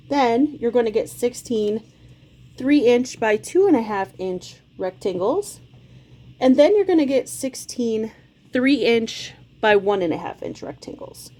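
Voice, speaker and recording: A woman's voice: fairly high pitch, a little mellow. A woman in her forties with a slight cold hosts a casual sewing tutorial, explaining each step in a friendly, chatty way from her home sewing room. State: slight cold, occasional cough. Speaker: quilting channel host.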